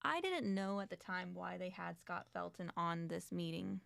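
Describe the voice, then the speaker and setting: A woman's voice: lowish voice, animated, moderate pace, gravelly, a bit bright, no room sound. A woman co-hosting an advocacy talk show, chatting casually and reading documents aloud with commentary. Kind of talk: casual discussion.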